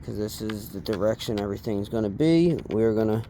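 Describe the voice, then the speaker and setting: A man giving a casual, unscripted hands-on tutorial, speaking haltingly and trailing off as he works with his hands. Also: fairly low pitch, dark tone, a little hiss or hum. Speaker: DIY installation demonstrator.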